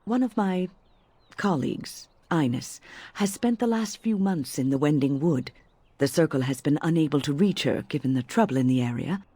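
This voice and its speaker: An actress playing an older, genteel female mage in a fantasy game, speaking formally and with measured kindness. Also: low voice, dark tone, slightly rough. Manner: formal, measured, kindly diction. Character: elderly genteel female mage, wise and cautious. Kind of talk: fantasy game dialogue acting.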